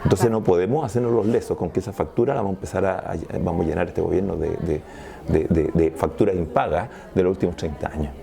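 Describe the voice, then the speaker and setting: A man's voice: sits low, fast talker, gravelly, warm tone. A Chilean man speaking as a sociologist guest in an interview, talking in a discursive, analytical way and often restarting his sentences. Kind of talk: interview conversation.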